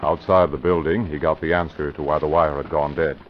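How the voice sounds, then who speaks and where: A man giving measured, grave scripted narration for a radio drama. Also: very deep voice, fairly even intonation, slight background noise. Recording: dull vintage radio broadcast with music.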